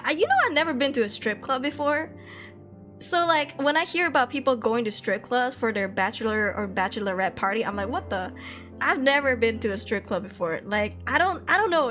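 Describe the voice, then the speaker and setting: A young woman streamer from Malaysia chats casually with her viewers, her voice sitting a little high. She laughs a lot and scatters fillers through her talk. Soft music plays behind her. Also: normal speed. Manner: chatty, laughs often, frequent fillers. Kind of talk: casual chat reading viewer comments.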